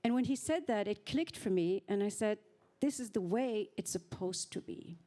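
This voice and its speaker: A woman shares her story on a panel in a reflective, earnest manner. Her voice sits mid-range and sounds warm and mellow. She pauses often to gather her thoughts. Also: medium pitch, lively intonation. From Norway. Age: 50s. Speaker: panel speaker.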